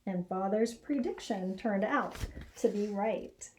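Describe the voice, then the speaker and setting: An American woman in her forties reading a children's picture book aloud with expressive storytelling. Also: mid-range voice, takes it slowly, a little hiss or hum, low-quality recording. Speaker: elementary school educator reading aloud. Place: small indoor classroom or office.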